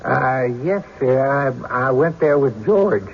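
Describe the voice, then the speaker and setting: A jumpy evasive courtroom witness, short polite answers, muffled old radio drama recording. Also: male voice, mid-range voice, expressive, takes it slowly, vocal fry. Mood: nervous. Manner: clipped, deferential, hesitant. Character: nervous, evasive male witness on the stand. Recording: dull radio recording, faint noise.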